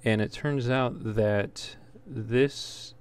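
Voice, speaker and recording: A man's voice: mid-range voice, fairly even intonation, very slow. A man giving casual, unscripted gameplay commentary as a let's play host, talking in an easygoing, hesitant ramble. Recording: clearly noisy home recording.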